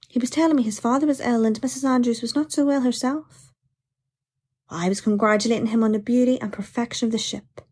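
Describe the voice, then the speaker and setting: An actress with a Belfast accent playing a middle-aged ship's stewardess who fondly recalls people in a letter. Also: female voice, on the high side, darkish tone. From North Belfast, Northern Ireland. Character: middle-aged Titanic stewardess, fond and admiring, recalling colleagues. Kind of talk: dramatic first-person letter reading.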